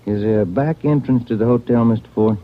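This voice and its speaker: A man's voice: mid-range voice, fast, lightly acted. A man playing a grumbling, wisecracking detective. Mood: questioning. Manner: dry, grumbling quips. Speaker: police detective partner. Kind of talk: 1940s radio drama acting. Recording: dull 1940s radio broadcast.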